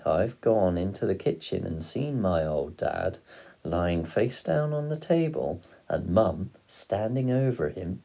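A roguish father in a storybook, played by a male reader, spinning tales with mischievous glee. The voice is husky and swings widely in pitch, savouring each phrase at an unhurried pace. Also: mellow, full character voice. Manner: exclamatory, relishing, dramatic delivery. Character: roguish, gleeful father, fond storyteller of poaching.

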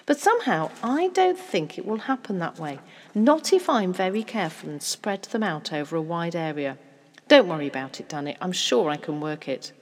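An excitable storybook father, played by a woman, affectionate and full of schemes. The delivery swoops widely and exclaims, with a husky edge, in a long reverberant space. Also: lowish voice, normal speed. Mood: confident. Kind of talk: children's storybook character acting.

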